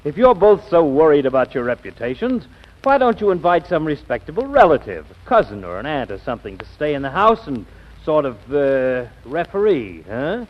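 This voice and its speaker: An actor playing a glib, pushy attorney with emphatic delivery in a 1940s radio comedy. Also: male voice, fairly high pitch, sing-song, normal speed. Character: fast-talking, pushy, glib male attorney. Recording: dull vintage radio recording.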